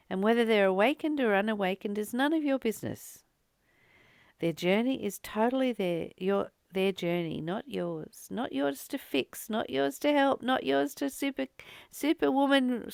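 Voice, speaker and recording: A woman's voice: mid-range voice, gravelly, no room sound, clean studio sound. A woman reading a spiritual text and commenting on it as a teacher, earnest and exhorting, repeating phrases for emphasis.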